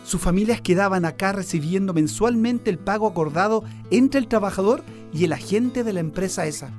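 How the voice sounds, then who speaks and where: An actor with a Chilean accent playing an earnest, deferential newspaper reporter who explains things respectfully in a radio drama. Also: male voice, high-pitched, expressive, brisk, darkish tone, soft background music. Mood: concerned, explanatory. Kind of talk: Spanish-language radio drama acting.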